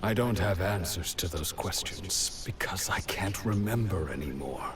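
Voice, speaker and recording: An actor playing a weary older village protector in a video game, halting and resigned, as if emptied of purpose. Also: male voice, sits low, expressive, distant mic, noisy background. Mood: hollow, resigned. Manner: halting, resigned, breathy trailing phrases.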